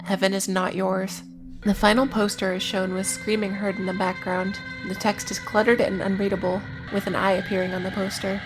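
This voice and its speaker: A woman's voice: mid-range voice, level tone, a little fast, rough recording. A woman narrating a scripted video essay in an informal way, with casual, wry asides amid plain recapping. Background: music.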